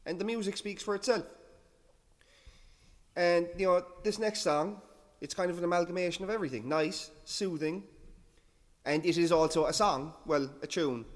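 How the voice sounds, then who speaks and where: Male host, relaxed and rambling, a bit high-pitched, long reverb on the voice. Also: moderate pace, pauses often. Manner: laid-back, self-deprecating, rambling with restarts. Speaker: radio-style show host. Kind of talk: casual rambling show patter.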